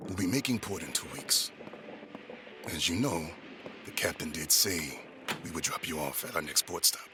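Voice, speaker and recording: A man playing a stern, fatherly first mate in an audio drama, speaking firmly and in measured words. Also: low voice, expressive, background noise. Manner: firm, measured.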